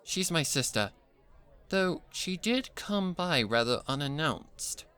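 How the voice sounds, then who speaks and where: A man voicing a composed, kindly female pony musician in a story, calm and reassuring like an older sister. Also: fairly high pitch, slow pace, clean tone, some chatter behind, pitched above the performer's own voice, heavily acted. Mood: gently amused.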